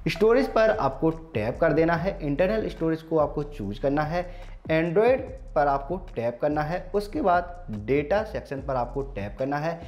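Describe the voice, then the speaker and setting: A man's voice: on the high side, expressive, measured pace, a little mellow. An Indian man hosting a tech tutorial, speaking formally to viewers in an instructional, step-by-step way. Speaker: tech channel host. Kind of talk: scripted-sounding phone tutorial narration.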